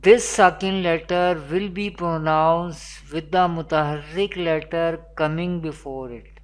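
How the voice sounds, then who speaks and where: A Quran teacher, giving an online lesson in a slow, repetitive teaching manner, with Arabic recitation. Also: medium pitch, fairly even intonation.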